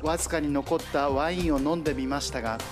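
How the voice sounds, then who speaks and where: A man delivers a scripted news report in a reporter's narrating style. His voice sits at an ordinary pitch, with music clearly playing behind it. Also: steady tone, fast talker. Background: background music.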